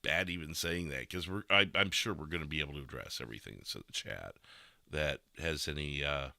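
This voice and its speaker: A man's voice: rumbling low, fairly quick, vocal fry, bright, forceful tone, no echo, studio quality. A man hosting a casual podcast in a rambling, self-deprecating and joking manner. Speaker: podcast host and game streamer.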